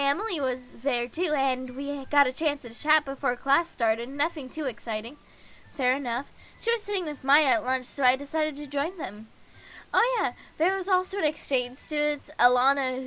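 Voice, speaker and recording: A woman's voice: sits high, bright, forceful tone, rough recording. A woman streaming a visual novel, reading every character's lines and the narration aloud herself, with frequent small stumbles and restarts. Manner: reads all parts herself with small restarts and slips.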